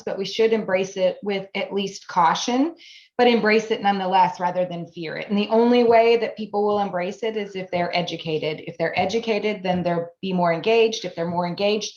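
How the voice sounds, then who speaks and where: Woman, late 40s, German first language, earnest, repeating phrases, interview guest, echoey room, dull lo-fi video-call sound. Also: mid-range voice. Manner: earnest, speaks in building repeated phrases, laughs easily. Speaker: guest, AI education business founder. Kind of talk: interview conversation.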